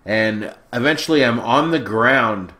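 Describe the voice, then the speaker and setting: A man co-hosting a podcast, stuffed up with a sore throat, telling a story in a lively, casual way with some swearing. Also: medium pitch, measured pace. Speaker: podcast co-host.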